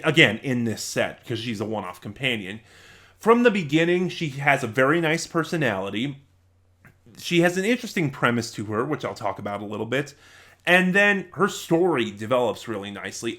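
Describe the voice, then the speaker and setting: A man's voice: medium pitch, fairly bright tone. Male reviewer, mid-range voice, casual and conversational, loosely rambling delivery with self-corrections. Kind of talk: casual spoken audio drama review.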